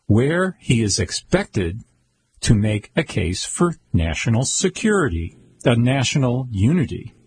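A man reading world news aloud at a slow, halting pace, stumbling over words and correcting himself. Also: medium pitch, darkish tone, husky, no room sound. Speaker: news reader.

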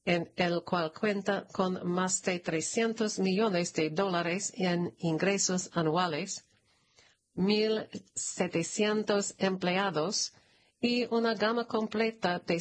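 A woman reading a scripted news announcement aloud in a formal, measured manner. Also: fairly low pitch, steady tone. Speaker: narrator reading a press release.

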